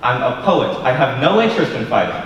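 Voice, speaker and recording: A young man acting a contrary, cynical drunken poet in a high school stage play, speaking in a deliberate, articulate, argumentative way. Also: very animated, fairly bright tone, muffled recording. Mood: defiant, lecturing. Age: young adult. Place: large school auditorium stage. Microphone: far camera. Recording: distant, echoey auditorium with faint noise.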